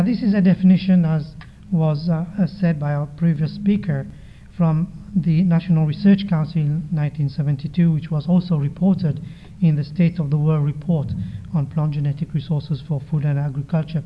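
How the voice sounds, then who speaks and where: A soft-spoken man with a slightly raised pitch delivers a formal conference talk. He speaks in a measured way, often pausing with hesitant fillers. The sound is dull and lo-fi. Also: fairly even intonation, slight background noise. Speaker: conference presenter. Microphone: microphone. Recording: dull lo-fi recording.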